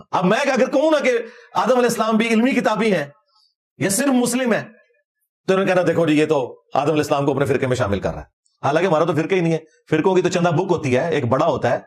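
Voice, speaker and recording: A man with a Pakistani Punjabi accent giving informal religious commentary in an argumentative, sarcastic, colloquial way. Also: fairly high pitch. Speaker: religious lecturer.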